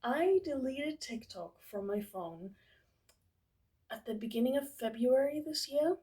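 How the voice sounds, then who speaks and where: A woman's voice: high voice, lively intonation, measured pace, dark tone. Rambling young woman vlogger. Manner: rambling, hesitant, many fillers. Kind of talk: casual chat to camera.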